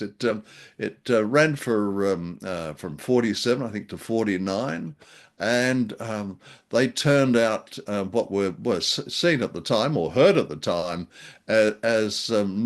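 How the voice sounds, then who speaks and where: A middle-aged man co-hosting a casual review chat with jocular, dry humour. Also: lowish voice. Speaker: podcast co-host.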